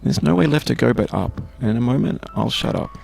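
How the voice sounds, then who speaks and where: A man giving calm, measured scripted narration for a game, with a gravelly, husky voice. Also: medium pitch, faint music behind.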